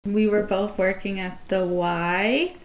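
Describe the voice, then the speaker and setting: A woman's voice: mid-range voice, slow. A young woman from the United States, a guest chatting casually, rambling and giggling with lots of "like.". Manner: rambling, giggly, heavy use of "like". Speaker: podcast guest. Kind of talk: casual chat.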